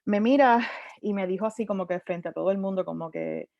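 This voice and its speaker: Lively woman on a video call. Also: mid-range voice, brisk, no echo. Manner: lively, mixes in English terms, frequent restarts. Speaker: panelist. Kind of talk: conversational storytelling on a virtual panel. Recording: dull, dry video call audio.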